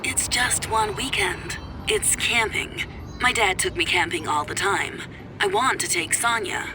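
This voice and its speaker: A woman voicing a bored, pushy ex-boyfriend in a quiet, flat, dismissive manner for an audiobook story. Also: mid-range voice, unhurried, noisy background, natural voice. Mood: bored, insistent.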